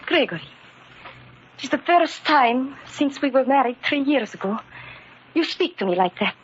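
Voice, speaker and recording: High-voiced, reproachful immigrant wife. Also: female voice, expressive, moderate pace. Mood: worried, reproachful. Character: worried, gently scolding wife of Greek immigrant shoemaker. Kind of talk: old-time radio drama acting. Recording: muffled, noisy old radio recording.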